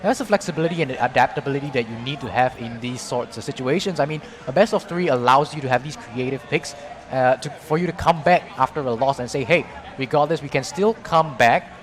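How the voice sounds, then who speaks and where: A man doing color commentary for an esports broadcast, explaining plays in a measured way. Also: medium pitch. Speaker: esports co-caster.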